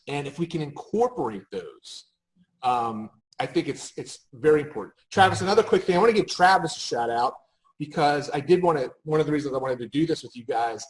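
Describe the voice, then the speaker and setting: A man's voice: fairly high pitch, low-quality recording. A man speaking off the cuff as a marketing expert in a panel conversation, emphatic and anecdotal, often restarting his phrases.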